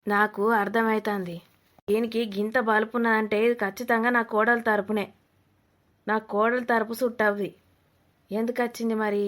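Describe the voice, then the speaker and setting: A woman's voice: on the high side, flat delivery, normal speed, fairly bright tone, lightly acted. A woman playing a blunt, nosy older village mother-in-law with a Telangana Telugu accent, scolding and mocking in a comedy cartoon. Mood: suspicious, brusque.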